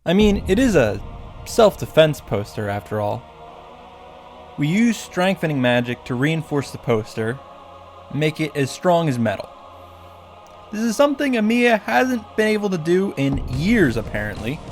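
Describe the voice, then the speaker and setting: A man's voice: fairly high pitch, big pitch swings, unhurried, faint music behind. A man giving a sarcastic, profane recap and commentary on a visual novel, as the host of a video. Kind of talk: game recap with commentary, reading lines aloud.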